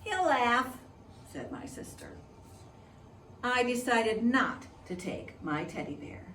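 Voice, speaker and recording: A woman reads a picture book aloud for young children at an unhurried pace, with lively swings in pitch. She sounds a little distant from the mic, in a roomy, echoing space. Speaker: preschool teacher reading a storybook aloud. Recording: echoey room, distant mic, faint noise.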